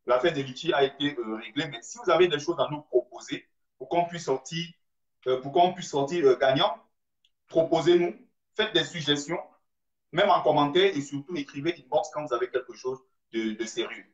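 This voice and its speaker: A man hosting a live discussion, speaking in a measured way and addressing his viewers directly. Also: a little high. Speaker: live stream host. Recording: roomy, dull live stream audio.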